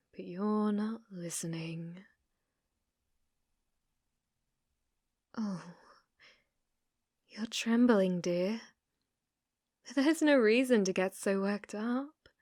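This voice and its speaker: Casting: actress as delusional young British cashier, obsessive stalker for ASMR roleplay; unhurried, halting delivery, very dry close sound. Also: female voice, medium pitch, a little mellow, no room sound. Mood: menacing, possessive. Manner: halting, flustered, slipping into breathy menacing whispers.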